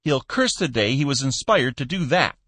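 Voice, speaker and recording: A man's voice: medium pitch, animated, moderate pace, a bit bright, dry recording, voice pushed up. A stiff, humorless office manager with a firm, raised voice, clipped and complaining, as a male narrator puts him on. Recorded close and dry. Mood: indignant.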